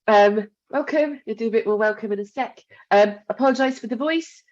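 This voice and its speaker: A woman's voice: a little high, brisk, darkish tone, highs cut off. A woman, a university mentoring lead, chats reassuringly through a recorded training talk with a congested, blocked-up voice. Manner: chatty, reassuring, with frequent ums and asides. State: congested from a cold. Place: home. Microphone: headset.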